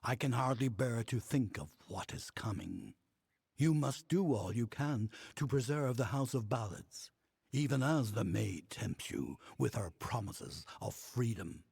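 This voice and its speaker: A man acting a solemn, cautious fae storyteller in a video game, speaking in a grave, measured, formal way. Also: medium pitch, animated, gravelly, darkish tone, husky, no echo, studio quality, heavily acted. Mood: grave worry.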